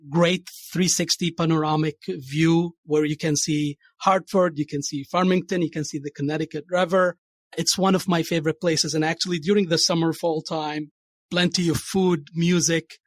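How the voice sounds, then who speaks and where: A man's voice: fairly high pitch, no room sound. A man from Saudi Arabia, a chief cardiology fellow, talking eagerly as a podcast guest. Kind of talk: podcast interview, introducing himself and a place.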